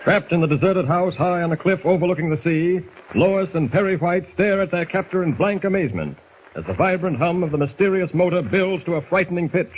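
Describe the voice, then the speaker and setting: An actor as a suspenseful announcer, narrating a 1940s radio serial dramatically. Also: male voice, high-pitched, fairly quick, close to an everyday voice. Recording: tinny noisy 1940s radio recording.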